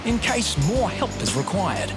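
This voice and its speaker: A man's voice: sits high, unhurried, loud music behind the voice. A man narrating a documentary in a wry, scripted storytelling manner. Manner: wry, lively storytelling delivery.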